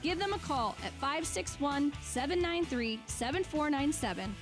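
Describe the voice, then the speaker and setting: A woman delivers a scripted radio testimonial. Her voice is high-pitched, and music plays behind her. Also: animated, moderate pace. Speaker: general manager giving testimonial ad.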